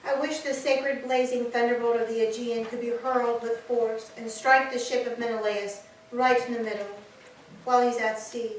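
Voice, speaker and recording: A woman's voice: fairly high pitch, level tone, moderate pace, natural voice. A woman playing an anguished captive Trojan woman in a staged tragedy reading, declaiming a lament. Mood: bitter. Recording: very echoey hall, distant mic, some noise.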